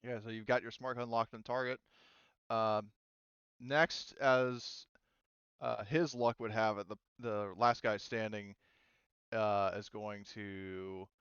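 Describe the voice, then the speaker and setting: A man running a tabletop roleplaying game as game master, explaining rules and thinking aloud in a halting way. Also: lowish voice, a bit bright, clean tone, dry recording, lo-fi sound.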